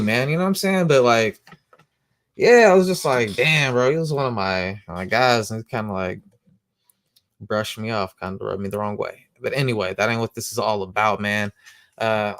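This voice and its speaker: A man hosting a comic book show, rambling casually in slang, who says he has a loud voice. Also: medium pitch, dry recording, clean studio sound. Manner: slangy, rambling, lots of "you know what I'm saying". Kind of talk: casual monologue.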